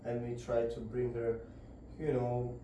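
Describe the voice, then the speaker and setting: A man gives a devotional lecture in a very echoey room, his voice heard from well away from the microphone. His tone is flat and even, with a soft, dark timbre. He speaks haltingly, often restarting as he searches for words. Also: medium pitch, far from the mic, slight background noise. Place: indoor gathering room.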